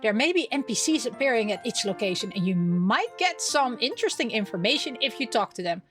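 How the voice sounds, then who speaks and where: A woman with a fairly high voice hosts a let's play over clearly audible music. She reads the game's text aloud and adds her own playful, expressive comments. She often chatters to herself as she plays. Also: fairly quick. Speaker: gaming video host.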